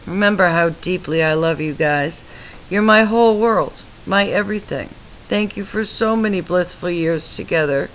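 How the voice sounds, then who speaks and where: A woman voicing a weak, dying husband who speaks softly and tenderly, his voice fading. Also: fairly low pitch, normal speed, a little hiss or hum, close to an everyday voice, low-quality recording. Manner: soft, faltering, fading. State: weak and tired.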